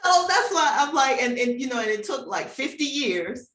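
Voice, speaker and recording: A woman's voice: high voice, big pitch swings, normal speed, fairly bright tone, distant mic. A woman in her fifties hosting a conversational interview, affirming her guests and repeating phrases for emphasis. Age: 50s. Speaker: host interviewing book co-authors. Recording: roomy echo with background noise.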